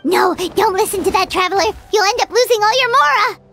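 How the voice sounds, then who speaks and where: A woman voicing a small, excitable fairy-like girl companion with a squeaky, exclamatory delivery in a video game. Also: fairly quick, creaky, faint music behind, full character voice. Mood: wary. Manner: refers to self in third person, squeaky and exclamatory.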